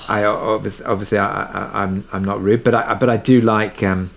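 A man with a slightly low, gravelly voice. He talks casually and haltingly as an interview guest, understated, circling back on his words. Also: flat delivery. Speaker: interview guest, audio drama maker. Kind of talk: casual conversation.

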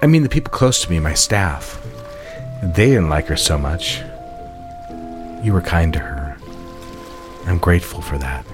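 An actor playing a devoted, soft-spoken man in love, confiding intimately in an audio drama. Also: low-pitched, animated, unhurried, pauses often, over music. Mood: earnest.

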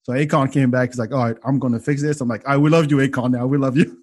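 A man from Senegal hosts a casual podcast at a mid-range pitch. He tells loose, jokey stories and swears freely, keeping the talk relaxed and conversational. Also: rapid-fire, breathy edge. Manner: loose, swearing, joking storyteller. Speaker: podcast host.

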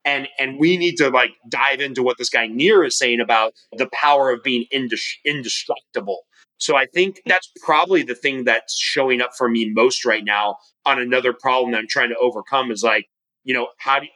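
A man in his forties, a guest on a podcast, talking fast and energetically, rambling with restarts. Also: mid-range voice, sharp tone, low-quality recording. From California. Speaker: podcast guest, mortgage professional and coach. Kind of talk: casual interview talk.

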